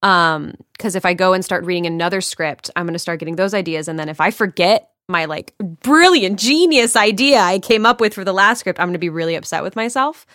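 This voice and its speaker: A woman's voice: on the low side, lively intonation, fairly quick, hardly any pauses, fairly bright tone, no room sound, clean studio sound. A woman voice actor chatting on a podcast, acting out her own inner thoughts as she talks. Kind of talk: casual chat.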